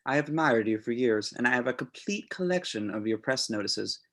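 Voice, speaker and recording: A man playing an obsessed serial killer reading out his formal, measured letter to his idol in a screenplay table read. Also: mid-range voice, expressive, a bit bright. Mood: reverent admiration.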